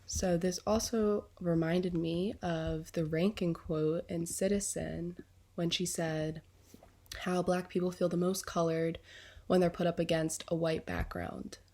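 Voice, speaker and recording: A woman's voice: lowish voice, fairly even intonation, measured pace, warm tone. A young woman who is sick and congested, speaking earnestly as a student vlogger reflecting on a class reading, with frequent ums.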